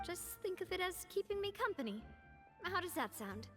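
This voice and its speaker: A woman's voice: very high voice, very animated, normal speed, pushed and bright, full character voice. A woman playing a confident, playful young woman leader in a video game, with a breezy, teasing, friendly manner. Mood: cheerful. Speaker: game character. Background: game music.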